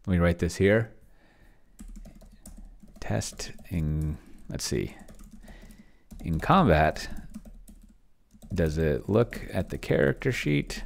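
A man's voice: low-pitched, slight background noise. A middle-aged man thinking aloud casually at his desk, often muttering and trailing off as he narrates what he is doing on screen. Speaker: host. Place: indoor home room at a desk.

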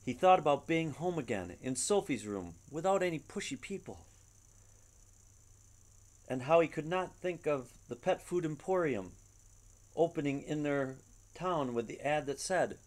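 A middle-aged man reading a children's picture book aloud at home in an expressive, dramatic storytelling manner. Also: on the high side, unhurried. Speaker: storybook reader. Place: living room at home. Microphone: webcam at about arm's length.

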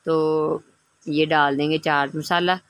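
A woman's voice: low voice, little pitch movement, slow pace, dry recording, poor audio. A woman narrating a home recipe in a casual, instructive way, often checking in with the listener as she explains each step. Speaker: home cooking channel host.

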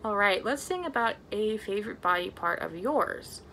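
A woman's voice: medium pitch, expressive, moderate pace, sharp tone, slight background noise. A young adult woman presenting a storytime for small children as a librarian, speaking to them in simple, encouraging phrases with lots of questions.

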